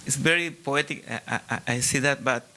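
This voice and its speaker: A man from Chile with a Chilean Spanish accent, a non-native speaker asking a question from the audience, halting and searching for English words. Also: mid-range voice, pushed and bright, a little hiss or hum. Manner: hesitant, searching for English words, many restarts. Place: large hall, audience Q&A.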